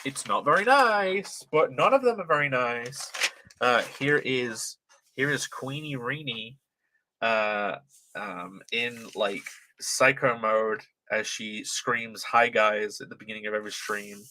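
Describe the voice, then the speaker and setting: A man's voice: mid-range voice, slow pace, sharp tone, a little hiss or hum, rough recording. A young man from Britain with a light British, transatlantic-tinged accent, chatting casually on a livestream, reading chat aloud, swearing freely and laughing often.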